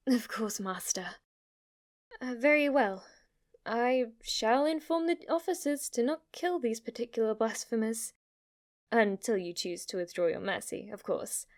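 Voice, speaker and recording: A woman's voice: fairly high pitch, darkish tone. A woman playing a devoted, submissive demon maid in an ASMR roleplay, with a formal, deferential and adoring manner. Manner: formal, deferential, breathless adoration, sometimes whispering. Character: devoted, submissive, obsessively worshipful female demon maid servant.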